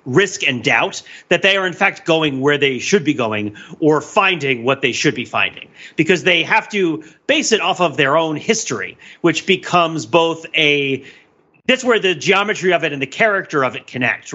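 A man's voice: fairly high pitch, bright, forceful tone, dull audio. A man hosting a casual film discussion podcast, explaining things and often checking in with rhetorical questions. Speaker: podcast host.

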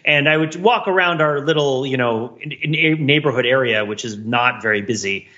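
Bright-voiced man on a slightly dull recording. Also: medium pitch, normal speed. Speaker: podcast co-host. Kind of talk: casual reflective podcast chat.

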